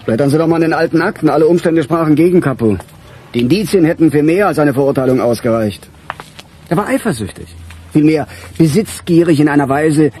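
An actor playing a weary, grumbling police chief with a husky voice in a radio crime drama. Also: male voice, fairly high pitch, animated, brisk, mellow, slight background noise, close to an everyday voice. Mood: irritated.

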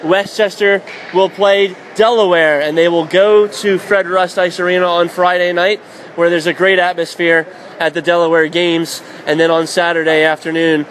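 A man doing radio play-by-play of a college hockey game, calling plays quickly and shouting at the big moments. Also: high-pitched, little pitch movement. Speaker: play-by-play announcer. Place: college ice arena. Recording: noisy background.

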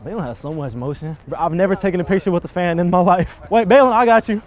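A young man bantering casually with friends in an animated, joking, slangy way. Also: high-pitched, fast talker. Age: young adult. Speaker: prank vlogger. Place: busy restaurant and storefront at night. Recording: loud restaurant chatter and music behind.

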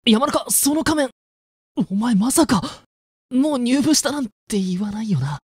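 A woman's voice: a little high, lively intonation, unhurried, breathy edge, no echo, studio quality. A woman playing an earnest, stiff teenage boy who is student council secretary in a voice drama, deferential in manner. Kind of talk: amateur voice drama acting.

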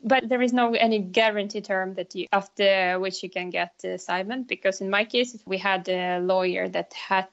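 Female interview guest, Ukrainian accent, gravelly fry in the voice, conversational delivery, slightly muffled recording. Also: mid-range voice, steady tone, moderate pace, few pauses.

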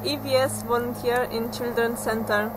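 A woman's voice: a little high, fairly even intonation, normal speed. A young woman from Romania, a volunteer, answering interview questions in a playful way outdoors. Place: outdoors by a brick wall. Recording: outdoor noise.

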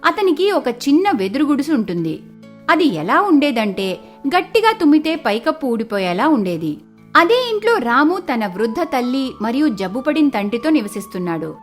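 A woman narrates a scripted folk story. Her voice is very high, with animated rises and falls. Faint music runs underneath her expressive delivery.

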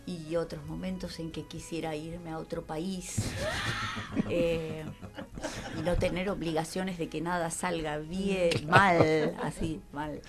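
An older woman with an Argentine accent, a guest actress chatting on a radio show, animated with frequent laughs and trailing fillers. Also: low-pitched. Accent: Rioplatense Spanish. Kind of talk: casual radio interview. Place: small radio studio with foam walls. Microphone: studio mic and headphones.

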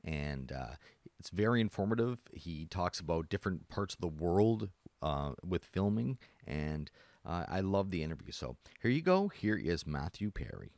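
Lively low-voiced male interviewer. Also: moderate pace, creaky. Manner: chatty, many fillers and restarts. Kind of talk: casual interview.

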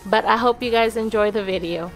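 A woman's voice: a little high, brisk, over music, low-quality recording. A woman giving a casual, chatty vlog voice-over, talking informally and directly to her viewers. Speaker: family vlogger, host. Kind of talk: casual voice-over narration of cleaning.

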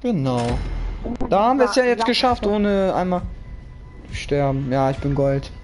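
A man's voice: high-pitched, expressive, normal speed, background noise. Young man swearing through a game stream. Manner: slangy, swearing. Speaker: gamer hosting a stream. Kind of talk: casual gaming chat with friends. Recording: game music behind the voice.

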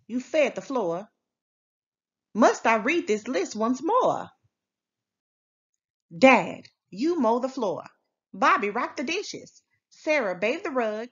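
A woman's voice: high voice, a bit bright, highs cut off, exaggerated voice. Frazzled, bossy storybook parent voiced by a woman. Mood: indignant. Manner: emphatic, exasperated, commanding. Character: frazzled, bossy parent rallying the family to clean. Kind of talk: children's storybook read-aloud.

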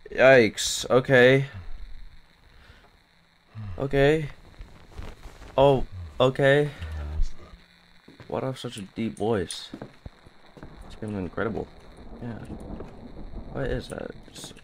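Gravelly-voiced nervous gamer guy. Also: mid-range voice, slow, pauses often, a little hiss or hum, poor audio. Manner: jumpy, nervous, talks to himself. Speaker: gamer playing a horror game. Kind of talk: casual let's play commentary.

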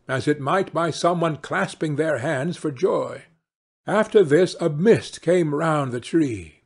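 Husky, expressive male storyteller. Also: on the high side. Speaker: audiobook narrator. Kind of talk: scripted fairy-tale narration.

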